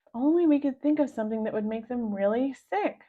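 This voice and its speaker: A woman's voice: fairly high pitch, lively intonation, fairly quick, phone-quality sound. A woman voicing a clever, mischievous schoolboy who leads his friends in a children's storybook. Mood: scheming.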